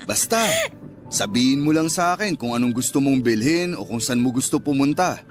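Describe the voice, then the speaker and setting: An actor playing a smooth-talking, indulgent restaurant owner courting his lover in a radio drama, casual and coaxing. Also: medium pitch, lively intonation, brisk, close to an everyday voice. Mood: indulgent, confiding. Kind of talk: Tagalog radio drama dialogue. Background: background music.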